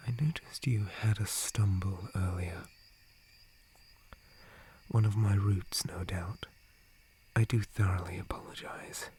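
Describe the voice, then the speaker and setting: A man voicing a gentle, polite dryad guardian in a breathy, formal whisper for an ASMR roleplay. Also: sits low, a little hiss or hum. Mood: gentle, concerned. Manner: formal, courteous, hesitant, softly hushed. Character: gentle, polite male dryad, forest guardian tree spirit.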